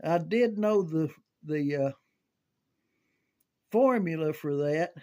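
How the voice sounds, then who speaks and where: Older man, high voice, very unhurried, folksy, halting, casual rambling storytelling. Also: expressive, darkish tone. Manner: halting, folksy, thinking aloud. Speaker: amateur storyteller reminiscing about CB radio.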